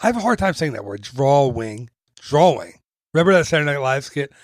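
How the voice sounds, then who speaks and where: A man's voice: medium pitch, sing-song, brisk, dry recording, studio quality. A man hosting a travel podcast, reading an upbeat promo in a chatty way with self-deprecating jokes. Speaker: podcast host and travel agent. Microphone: studio mic.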